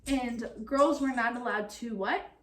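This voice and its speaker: A woman reading a picture book aloud like a teacher, expressive and speaking directly to young listeners. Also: a little high, unhurried, slight background noise. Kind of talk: read-aloud storytelling with comprehension questions.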